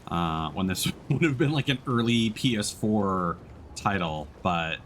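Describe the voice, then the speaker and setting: A man giving casual let's-play commentary as he streams a game, thinking aloud in a halting way. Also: lowish voice, expressive, fairly bright tone, slight background noise. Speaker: streamer playing a video game.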